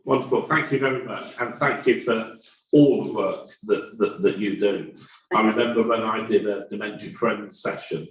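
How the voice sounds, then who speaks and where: A man's voice: lowish voice, steady tone, moderate pace. A man chairing a town meeting, stumbling and repeating words when he speaks off the cuff. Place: council meeting room. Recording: roomy hall, voice from far off.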